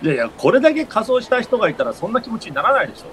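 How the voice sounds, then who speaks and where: Guy playing a fussy exasperated pal in a radio play, slightly high voice, pitch jumps all over. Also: very animated, a bit bright, a little hiss or hum. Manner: exasperated, quick retorts. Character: fussy, exasperated straight-man friend, an adult man. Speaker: guest acting in a radio drama. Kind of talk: scripted comedic radio drama dialogue.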